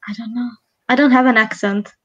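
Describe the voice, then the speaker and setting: Hungarian woman co-hosting a stream, kinda high voice, rambles and restarts. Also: fairly even intonation, a little fast, fairly bright tone, dull audio. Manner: rambling, with frequent restarts and laughs. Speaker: live stream co-host. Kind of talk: casual chat while doing makeup.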